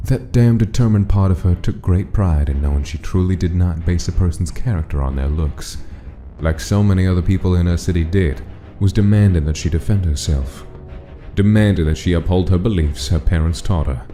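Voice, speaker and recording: A man's voice: low voice, fairly quick, mellow. A man narrating a dramatic story from a script, as the narrator of a comic dub. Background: music.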